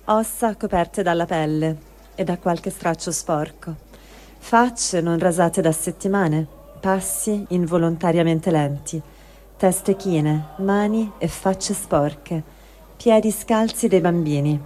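A woman giving a slow, grave dramatic reading of diary texts for a radio program. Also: on the low side, warm tone. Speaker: reader.

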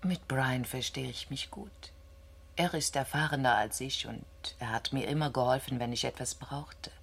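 An ambitious young scientist bares her thoughts in a science fiction radio play. Her voice is low and husky, and she answers candidly, with halting introspection. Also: full character voice. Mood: candid, reflective. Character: ambitious young woman astronomer under interrogation, candid.

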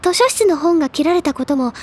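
High-voiced, earnest older schoolgirl. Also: lively intonation, a little fast. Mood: serious, resolute. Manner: gentle but insistent. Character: earnest, caring, determined high school senior girl. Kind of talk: audio drama dialogue.

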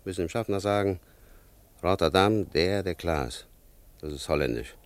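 An actor playing a gruff, furtive officer on night escort in a German radio play, instructing in an impatient, hushed manner. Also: male voice, low-pitched, animated, moderate pace, no room sound.

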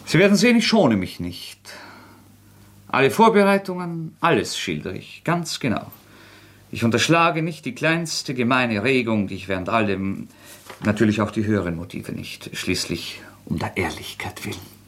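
An actor playing a proud young intellectual murderer in a radio drama, sarcastic, agitated and theatrical, defiant and brittle. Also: male voice, medium pitch, lively intonation, moderate pace, a bit bright, slight background noise, lightly acted. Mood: feverish boasting. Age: young adult. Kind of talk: German radio drama acting.

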